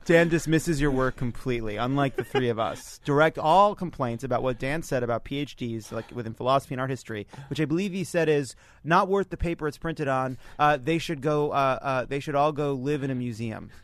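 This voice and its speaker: A man's voice: mid-range voice, brisk, few pauses. A man hosting a comedic panel podcast, bantering with wry, teasing sarcasm. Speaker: podcast host.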